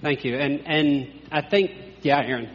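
A man's voice: on the high side, moderate pace. A male pastor leading a sharing time in church, affirming people and joking lightly. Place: church sanctuary. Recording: echoey church hall, dull sound.

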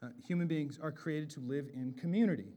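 An American man from the Pacific Northwest preaching a sermon, loud and animated, dropping into quiet asides before rising to booming emphasis. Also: a little fast. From Bellingham, Washington, USA. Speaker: pastor. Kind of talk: expository sermon.

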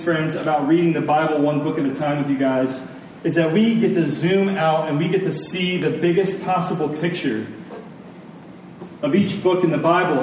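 Male pastor, slightly high voice, earnest conversational sermon delivery with emphatic pauses, in a very echoey room. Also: a little mellow. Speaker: pastor preaching. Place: large room, preaching to a congregation. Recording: echoey room, distant mic, faint noise.

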